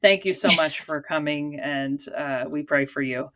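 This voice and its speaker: Lower-voiced playful woman cohost. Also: measured pace, a loud crowd. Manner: playful, laughing, emphatic asides. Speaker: podcast cohost, religious sister. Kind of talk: casual chat.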